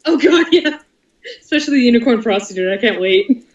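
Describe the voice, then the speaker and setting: A woman's voice: on the high side, animated, a little fast, dull audio. Young woman, echoey and distant on a call. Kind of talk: casual group call chat. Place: online group voice call.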